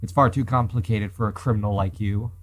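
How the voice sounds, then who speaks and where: A man's voice: on the low side, fairly even intonation, brisk, voice pushed down, natural voice. A man voicing a wary, nerdy hostage inventor who argues dryly in a visual novel. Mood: defensive. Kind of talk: reading visual novel dialogue and inner thoughts.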